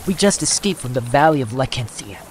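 A man's voice: mid-range voice, animated, fairly quick, natural voice. A man playing an earnest, cautious boy hero, speaking urgently in an acted audiobook. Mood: anxious, urgent. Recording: clear ambient background noise.

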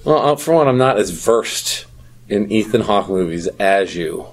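Man, lowish pitch, raspy voice, casual chat, podcast co-host. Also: animated, unhurried.

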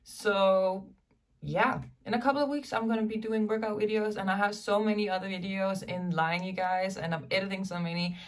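A woman's voice: mid-range voice, fairly even intonation, normal speed, distant mic. A young woman who is losing her voice to a cold, chatting casually to camera about makeup, saying "you guys" often. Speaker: beauty vlogger. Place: bedroom with clothes rack behind.

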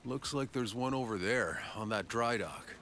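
An actor playing a determined, terse soldier hero in a video game. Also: male voice, moderate pace, a bit bright, lightly acted. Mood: focused, resolute. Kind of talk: video game cutscene acting. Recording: noisy ambience and vehicle rumble.

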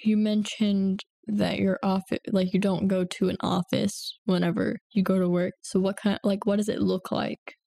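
A woman's voice: mid-range voice, little pitch movement, normal speed, gravelly, few pauses, mellow. A young woman hosting an interview podcast, speaking as the interviewer. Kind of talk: interview questions and scripted outro.

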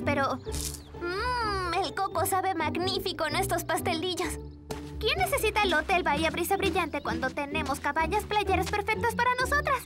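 A young girl from a children's cartoon, proud and upbeat. Her voice is very high, with loud music playing behind it. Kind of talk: animated children's cartoon dub.

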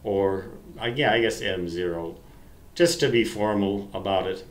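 An American man in his sixties with a fairly low voice talks slowly and haltingly, reminiscing in a folksy way. He pokes fun at himself as he rambles. The sound is echoey, like a webcam in a room at home. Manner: folksy, self-deprecating, halting. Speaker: amateur radio vlogger.

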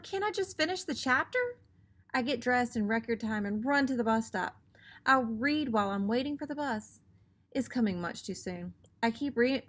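A woman's voice: a little high, gravelly, a bit bright. A woman in her thirties reading a children's book aloud as a teacher, acting out the dialogue expressively.

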